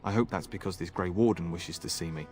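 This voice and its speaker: An actor voicing an earnest, dutiful young knight in a fantasy video game, formal and courteous. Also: male voice, low-pitched, fast talker, darkish tone, faint music behind, lightly acted. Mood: earnest, respectful.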